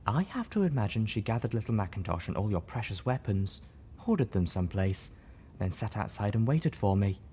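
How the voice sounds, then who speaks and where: A man voicing a female pony, sorrowful and caring, softly spoken, in a story reading. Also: lowish voice, very animated, moderate pace, a little hiss or hum. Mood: sorrowful, clinging hope. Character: refined female pony, sorrowful, caring, sharp when hurt.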